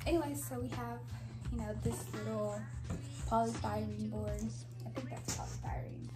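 A young woman with a soft voice chatting casually to camera in her bedroom, rambling with giggly asides, on a clip-on mic. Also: a little high, steady tone, moderate pace, loud music behind the voice, low-quality recording. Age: young adult. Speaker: vlogger. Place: bedroom, indoors. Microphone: clip-on mic after the first part.

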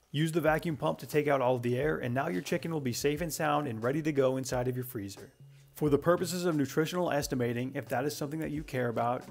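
A man in his twenties narrating a cooking tutorial as a voice-over, brisk and matter-of-fact with dry humor. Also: mid-range voice, vocal fry. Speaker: cooking channel host.

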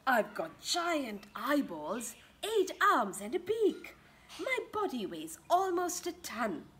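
Weird deep-sea squid for a storybook female reader, slow singsong, higher pitch. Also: huge pitch swings, a bit bright, husky, full character voice. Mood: playfully weird. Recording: outdoor ambient garden noise.

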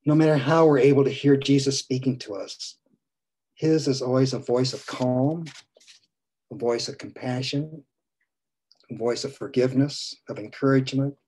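A priest in his sixties preaching a gentle sermon from a home study over a video call, his phrases trailing off softly. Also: medium pitch, normal speed, breathy edge, low-quality recording. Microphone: video call webcam.

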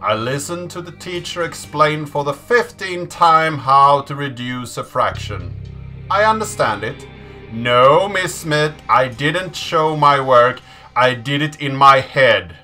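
Slow, bright-voiced man reading deliberately. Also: medium pitch, lively intonation, faint music behind. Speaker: host of a programming channel. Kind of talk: slow dramatic reading and presenter talk. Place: small indoor room at a desk.

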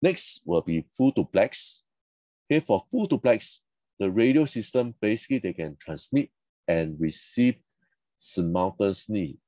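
A man with a low, mellow voice narrates a slide lecture in short, explanatory phrases. His English has non-native phrasing. The recording is dry and close. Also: expressive, unhurried, no echo. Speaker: instructor.

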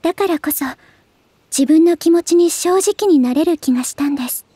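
A woman playing a gentle, refined, sentimental young lady idol in an anime voice drama, speaking softly and politely. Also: extremely high pitch, normal speed, no room sound. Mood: wistful, tender. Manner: soft, polite, ladylike, wistful. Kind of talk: anime game voice drama, narration and dialogue.

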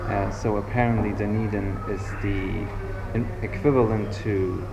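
A man's voice: lowish voice, steady tone, measured pace, creaky, loud music behind the voice. A young man, speaking casually and informally as he narrates a travel vlog on an action camera. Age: young adult. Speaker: travel vlogger. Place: out and about: streets, museum, car. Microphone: action camera at arm's length or mounted. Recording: dull action-camera audio, loud ambient noise.

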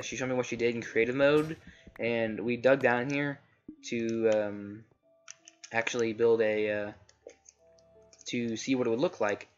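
A young man giving casual, rambling commentary while playing a game, his voice a little scratchy, often correcting himself mid-sentence. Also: medium pitch. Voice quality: slightly scratchy. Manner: rambling, self-correcting, chatty. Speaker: gaming let's play host. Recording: slightly dull home recording with faint noise.

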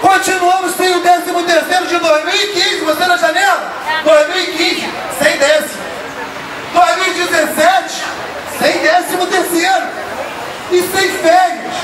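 A young man with a very high, strained voice shouts an accusatory rally speech into a handheld microphone. His voice booms through a loudspeaker and is picked up from far off, echoing around an open street. Crowd chatter and traffic noise sit underneath. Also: steady tone, measured pace. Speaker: protest speaker. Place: outdoor street protest in front of city hall. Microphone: handheld microphone through loudspeaker, filmed from a distance.

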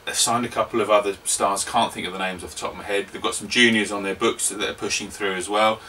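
A man's voice: lowish voice, steady tone, fast, bright, forceful tone. A man in his thirties co-hosting a casual golf chat show from a small cluttered basement studio, leading segments and tossing tag questions to his co-host. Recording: distant mic, faint room hum.

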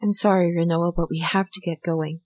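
A woman's voice: fairly low pitch, fairly even intonation, moderate pace, a little mellow, no echo, close to an everyday voice. A woman voicing a strict, responsible young military instructor in a video game fan dub. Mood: composed.

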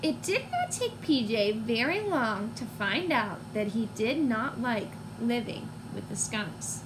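A woman in her twenties reading a picture book to young children, expressive and teacherly, pausing to put questions to listeners. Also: fairly high pitch. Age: 20s. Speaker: read-aloud storyteller for young children. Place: small room at home, indoors. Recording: clear background noise, home recording.

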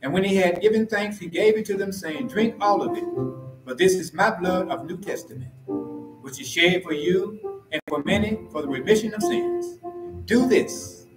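A man serving as pastor, reciting a communion liturgy in a slow, solemn, measured way from the pulpit of a church sanctuary. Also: high-pitched, expressive, over loud music. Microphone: pulpit mic from far camera. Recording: roomy church echo.

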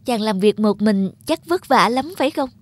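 A woman playing a gentle, devoted young fairy maiden in a cartoon fairy tale, speaking politely and earnestly. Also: a little high, expressive, moderate pace, no echo. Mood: gentle, bright. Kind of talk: animated fairy tale acting. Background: background music.

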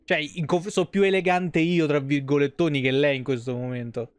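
A Roman man gives casual live gameplay commentary in a smooth, lightly high voice. He rambles in colloquial slang. The sound is close and dry. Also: fairly even intonation, normal speed, no room sound. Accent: Roman Italian. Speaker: game streamer.

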